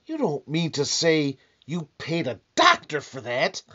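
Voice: mid-range voice, wildly swooping pitch, a little slow, sharp tone, slightly rough, heavily acted. An actor playing a weary, sarcastic, penny-pinching husband in an old-time radio comedy, grumbling and blustering wryly. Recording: dull, lo-fi band-limited sound.

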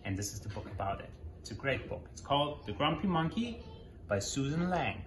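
A man in his thirties reads a children's story aloud. His delivery is deliberate and expressive, with wide swings in pitch. The living room sounds echoey, with faint background noise. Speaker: guest storybook reader. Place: small indoor living room.

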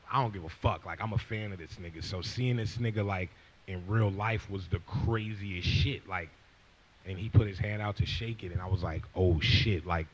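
Deep-voiced young man telling a story. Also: dull audio. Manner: profane, rambling, acts out quoted lines. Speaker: guest rapper. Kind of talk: casual storytelling. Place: stage with leather couch before audience. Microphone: handheld mic.

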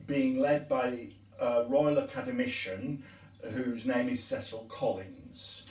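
Slow, courteous older priest. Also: male voice, mid-range voice, darkish tone. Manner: measured, courteous, slow and deliberate. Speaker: parish priest and interviewer. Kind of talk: gentle, formal interview. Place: sunlit glass conservatory at a tea table. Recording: echoey room, distant mic.

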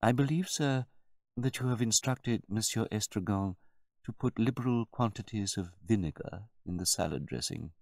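A grave butler played by a male storyteller speaks with formal, measured courtesy. Beneath the deference sits quiet disdain and polite condescension, in a dry, close storybook reading. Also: lowish voice, gravelly, no room sound, voice pushed up. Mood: politely dry.

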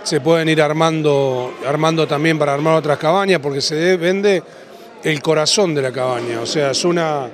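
A man in his thirties with an Argentine accent, answering interview questions as a livestock auctioneer, in a halting way that repeats and rephrases. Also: on the high side, a crowd in the background. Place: large indoor event hall after an auction. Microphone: handheld interview microphone.

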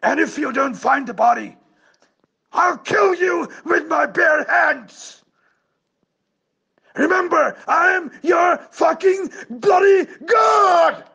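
A man playing a ruthless, domineering crime boss with a hoarse, croaky voice in an amateur audio drama. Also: very high voice, animated, pushed and bright, shouting, dull audio, full character voice. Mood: furious threat. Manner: domineering, slow, menacing. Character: ruthless crime boss who calls himself God.

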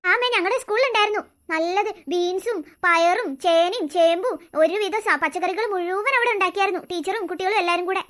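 A woman's voice: very high-pitched, a little fast, hardly any pauses, a bit bright. A woman voicing a feisty, outspoken schoolgirl daughter in a family cartoon, chattering emphatically and arguing. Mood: chatty. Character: feisty, outspoken schoolgirl daughter, quick to defend her mother.

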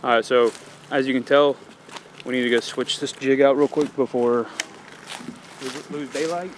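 A man's voice: mid-range voice, normal speed. A man, talking casually and slangily as he films a fishing vlog outdoors by ponds. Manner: laid-back, slangy, excited over catches. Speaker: fishing vlogger. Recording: noisy outdoor camera audio.

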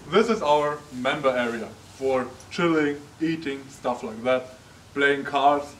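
A man's voice: medium pitch, takes it slowly. Young man touring an echoey barn. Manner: chatty, frequent ums, gestures while walking. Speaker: vlogger giving a tour. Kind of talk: casual walkthrough narration. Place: large old wooden barn with hay. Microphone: camera mic at a distance. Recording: echoey barn, distant mic, faint noise.

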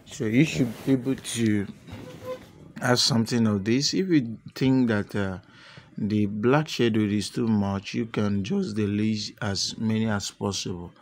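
A man narrating a step-by-step software tutorial unscripted, with halting, repetitive instructional phrasing. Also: on the low side, a little hiss or hum. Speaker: tutorial presenter.

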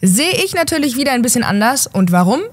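A woman's voice: fairly high pitch, big pitch swings, fast talker. A young woman hosting an opinion show, talking sarcastically and emphatically into a desk mic in a home studio. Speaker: host. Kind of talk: opinion commentary. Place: home studio with gaming chair.

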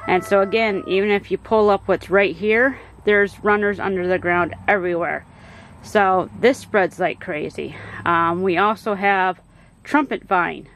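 A middle-aged woman walks her garden and talks through each plant in a relaxed, explanatory way, dropping plenty of ums and uhs. She's filming outdoors on a handheld phone, her voice sitting at an ordinary middle pitch. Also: a little hiss or hum, low-quality recording. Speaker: homestead gardener giving a garden tour. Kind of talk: casual garden tour narration. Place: outdoor backyard garden and greenhouse.

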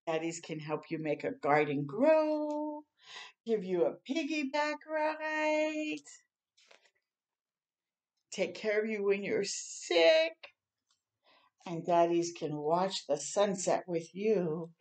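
Slow, lively older woman reading to kids. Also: mid-range voice. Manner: slow, gentle and expressive, with reactions to the pictures. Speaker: storytime host. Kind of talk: children's read-aloud. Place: small room indoors.

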